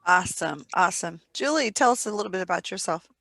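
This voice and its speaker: A woman hosts an interview and affirms her guests as she goes. Her voice is low and gravelly. It sounds dry and close to the mic. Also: lively intonation, fast talker, a bit bright, no room sound. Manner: affirming, encouraging listener. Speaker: host. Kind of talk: hosting an online interview.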